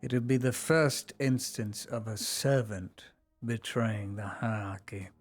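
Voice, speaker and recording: A man voicing a cold, scheming male inquisitor lord who delivers measured, menacing threats in a low voice. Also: faint music behind. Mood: coldly menacing. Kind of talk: live roleplay acting.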